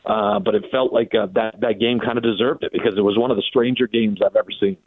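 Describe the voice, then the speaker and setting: American sportscaster on a phone-like line. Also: male voice, lowish voice, fairly even intonation, fast talker, breathy edge, no room sound. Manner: long-winded, detailed storyteller. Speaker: sports broadcaster interviewed as guest. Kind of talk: conversational sports radio commentary. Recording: phone-like narrow band, dry.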